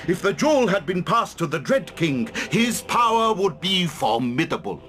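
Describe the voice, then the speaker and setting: Scholarly wizard, pompous, theatrical, highish voice, lively intonation, long-winded, fantasy game cutscene. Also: male voice, normal speed, faint music behind. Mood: grave, dramatic. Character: pompous scholarly male wizard of occult lore.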